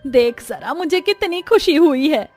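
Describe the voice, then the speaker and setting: High-pitched, animated Indian mother-in-law played by a woman. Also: measured pace, faint music behind. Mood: delighted. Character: fretful, affectionate middle-aged Indian village mother-in-law. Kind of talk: Hindi cartoon story dialogue.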